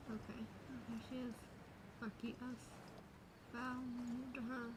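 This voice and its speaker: Female streamer, young adult: highish voice, casual slangy reactions, clear noise behind her. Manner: slangy, muttered asides to the game. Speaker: gameplay streamer. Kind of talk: casual gaming reaction commentary. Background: video game audio.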